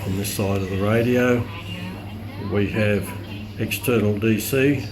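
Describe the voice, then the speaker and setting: A middle-aged man giving a casual, unscripted product demonstration, explaining hesitantly and without hurry, with pauses. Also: lowish voice, steady tone, crowd noise. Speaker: radio collector presenting a review. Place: indoors, at a tabletop with the radio. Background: radio broadcast playing in the background.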